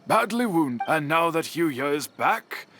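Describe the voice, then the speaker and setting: A man's voice: on the high side, big pitch swings, a little slow, over music. A man playing a townsman reporting news in a video game, against a noisy background.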